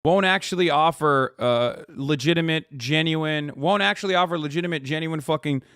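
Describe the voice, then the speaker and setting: A man's voice: fairly high pitch, moderate pace, a bit bright, clean tone, no room sound, clean studio sound. A man in his thirties from Turkey, ranting casually and profanely while reacting to clips as a streamer. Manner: profane, emphatic, rambling with restarts.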